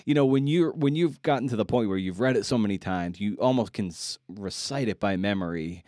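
A man's voice: lowish voice, a little fast, darkish tone, no room sound, clean studio sound. A man hosting a podcast, chatting casually in a rambling, informal way with playful asides. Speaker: podcast host. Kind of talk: casual interview and outro chat.

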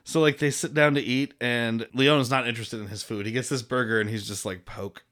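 A man co-hosting a relaxed film podcast, recapping scenes in loose, profane chat. He breaks into laughter often and slips into mock voices for the characters. His voice sits at an ordinary middle pitch. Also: a little fast. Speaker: podcast co-host. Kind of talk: casual movie recap chat.

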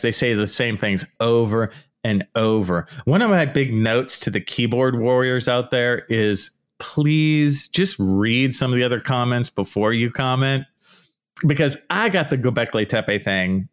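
Loud, animated man ranting casually. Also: medium pitch, measured pace. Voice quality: naturally loud, shouty voice. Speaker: archaeology YouTuber. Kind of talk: casual vlog commentary.

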